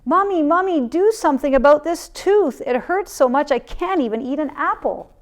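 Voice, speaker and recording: A woman voicing a young boy in a storybook, yelling and whining pleadingly in pain. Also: sits high, animated, normal speed, voice pushed up. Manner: yelling out, wailing complaints.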